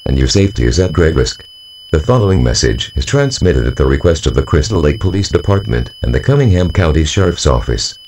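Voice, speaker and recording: A man's voice: rumbling low, a little mellow, slight background noise, poor audio. A man voicing a formal, stern official announcer reading a scripted emergency alert broadcast.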